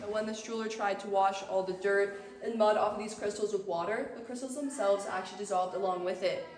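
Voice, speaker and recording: A young woman guiding a cave tour, explaining the formations to a group in a measured, informative way. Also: medium pitch, steady tone, a little mellow, slight background noise. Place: large cave, indoors. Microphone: far camera held by a visitor. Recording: echoey cave recorded from far off.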